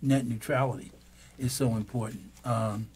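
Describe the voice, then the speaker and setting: A man's voice: medium pitch, steady tone, normal speed, darkish tone, breathy edge. An older man hosting a radio talk show from a small studio, musing at length into a studio mic. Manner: musing, speechifying. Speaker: radio host. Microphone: studio mic on boom.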